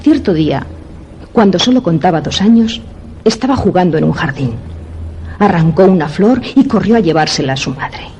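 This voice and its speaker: An actress playing a woman who reads a children's tale aloud in a radio drama, tender and deliberate. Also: lowish voice, expressive, warm tone, slight background noise, lightly acted. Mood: gentle storytelling. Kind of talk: radio drama storybook reading.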